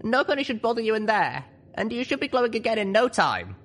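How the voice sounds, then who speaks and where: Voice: mid-range voice, big pitch swings, fast talker, fairly bright tone, pitched above the performer's own voice. A narrator voicing an earnest, determined young female pony leader, speaking solemnly and urgently in a story reading. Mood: solemn, commanding. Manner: solemn, urgent speech-making.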